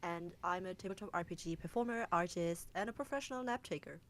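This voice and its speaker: A woman from Germany with a lowish voice speaks on a video call, telling stories animatedly. She laughs her way through her points. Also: fast. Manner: animated storyteller, laughs while talking. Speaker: guest. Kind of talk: casual roundtable chat.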